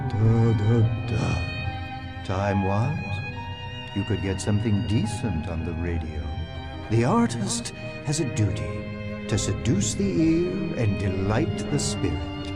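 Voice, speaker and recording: An actor playing a flamboyant, vain and menacing male artist, delivering grandiose, drawn-out, pompous monologues in a video game. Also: fairly low pitch, heavily acted.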